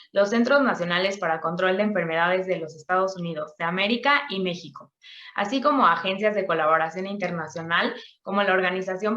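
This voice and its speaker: A woman's voice: medium pitch, a bit bright. Formal woman presenter, echoey sound. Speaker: conference presenter introducing a speaker. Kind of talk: formal scripted introduction. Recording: echoey room, dull muffled audio.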